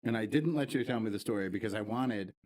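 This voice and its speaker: A man's voice: on the low side, quick pace, a little mellow. A man co-hosting a casual podcast who cuts in with crude jokes and lots of swearing. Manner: crude, joking interjections with heavy swearing. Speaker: podcast co-host.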